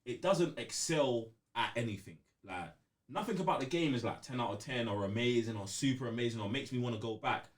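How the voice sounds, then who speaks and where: A man's voice: medium pitch, expressive, brisk, recorded from a distance. A man chatting casually on a gaming podcast, using plenty of slang and repeating himself. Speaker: podcast co-host.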